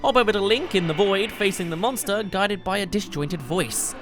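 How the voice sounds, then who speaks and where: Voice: medium pitch, animated, moderate pace, fairly bright tone. An enthusiastic, chatty reviewer, narrating a scripted video game countdown. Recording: music behind the voice.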